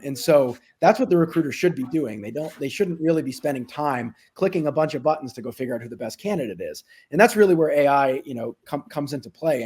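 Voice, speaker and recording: Slightly husky man explaining in an interview. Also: mid-range voice, darkish tone. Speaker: company executive being interviewed. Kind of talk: conversational business interview.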